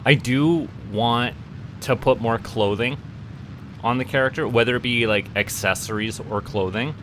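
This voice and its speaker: A man's voice: mid-range voice, measured pace, a bit bright, slight background noise. A man from Canada streaming a game, rambling and chatting with viewers and tripping over repeated words. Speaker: VTuber streamer. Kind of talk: casual gaming livestream chat.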